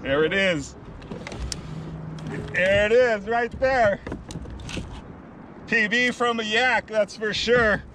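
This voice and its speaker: A man's voice: sits high, slow, pauses often. Whooping man fishing from a kayak. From California. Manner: whooping and hollering with excitement. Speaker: kayak angler filming himself. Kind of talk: casual excited narration. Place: kayak on open coastal water outdoors. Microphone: action camera mounted on the kayak. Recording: wind and water noise outdoors.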